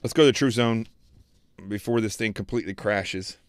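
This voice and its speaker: A man's voice: on the low side, normal speed, no echo. Emphatic preachy man host. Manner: preachy, emphatic, repeats phrases. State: losing his voice. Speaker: show host. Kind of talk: political commentary livestream.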